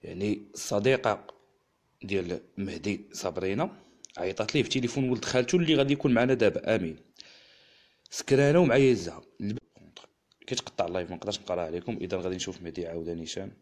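A man with a Moroccan accent hosting a live stream, chatting quickly with viewers and a guest. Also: fairly low pitch, a little mellow. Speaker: livestream host. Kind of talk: casual live chat and storytelling.

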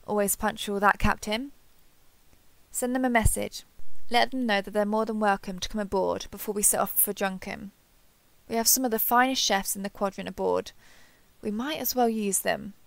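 A woman playing a warm, earnest female alien starship captain in a sci-fi roleplay audio drama. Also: medium pitch, creaky, no room sound, close to an everyday voice. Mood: brisk, courteous. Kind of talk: roleplay audio drama, one-sided dialogue.

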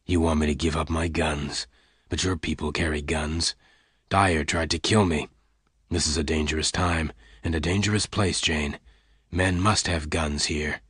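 Man voicing a very deep, calm gunfighter. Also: breathy edge, no room sound, clean studio sound, heavily acted. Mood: hard. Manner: calm, slow, gentle but hard-edged. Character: calm, slow-talking male gunfighter of the Old West. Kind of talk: audiobook character dialogue.

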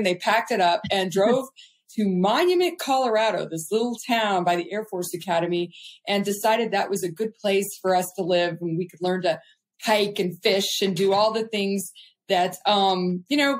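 A middle-aged woman from California, a business guest, telling her life story in a chatty, upbeat way with fillers. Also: medium pitch. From California, USA. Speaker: guest entrepreneur. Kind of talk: casual interview storytelling.

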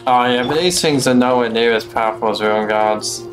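A man's voice: mid-range voice, normal speed, poor audio. Young male streamer musing over game music. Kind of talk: casual live gameplay commentary. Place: small room at home.

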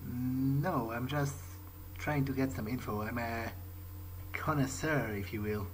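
A man's voice: mid-range voice, steady tone, a little slow, slight background noise. A man roleplaying in character as he plays a video game, thinking aloud in a hesitant, musing way. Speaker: gamer playing in character. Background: prominent game music.

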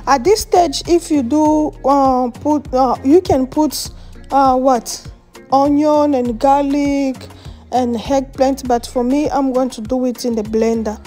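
Woman with a French or Swahili first language, chatty cooking vlog, high voice, mellow tone, faint music behind. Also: steady tone, slow pace. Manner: chatty, laughs often, searches for words. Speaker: cooking vlogger. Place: outdoors on a patio and in a home kitchen.

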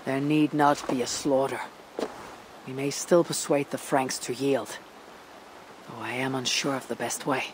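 A woman playing a stern, weary Viking warrior leader in a video game, grave and measured. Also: extremely low pitch, steady tone, slight background noise. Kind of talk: dramatic game cutscene acting.

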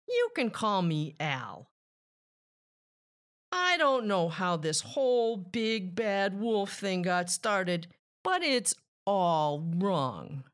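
A woman plays a sly, self-justifying male wolf from a picture book. The delivery is unhurried and very lively in pitch, leaning on key words as he innocently tells his side. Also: heavily acted. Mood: defensive. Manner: expressive, drawn-out emphatic delivery. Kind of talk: children's picture book storytelling.

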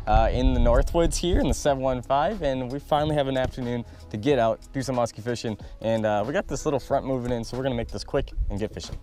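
A man's voice: medium pitch, soft background music. A man in his thirties hosting a fishing vlog from a small boat on a windy lake, chatty and enthusiastic, recorded on an action camera. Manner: enthusiastic, chatty, quick bursts of excitement. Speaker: fishing channel host. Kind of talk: excited outdoor fishing vlog.

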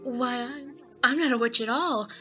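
A woman's voice: fairly high pitch, normal speed, pushed and bright, highs cut off, soft background music. A woman voicing an earnest, innocent, emotional Kansas farm girl in a theatrical classic-film dub. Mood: earnest, puzzled. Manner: earnest, girlish, pleading.

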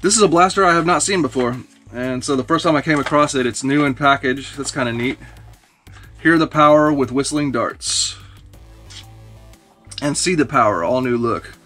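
A man in his forties casually talking through thrifted toys in a small shelf-lined room, relaxed and rambling with many fillers. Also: mid-range voice, normal speed, long pauses, faint music behind. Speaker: toy reseller vlogger. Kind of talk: casual haul video. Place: small indoor toy room lined with shelves.

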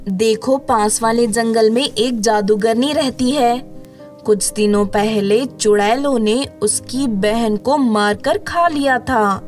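A woman's voice: fairly high pitch, slow pace, faint music behind. A woman voicing a caring, helpful female fairy in a cartoon story. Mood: serious.

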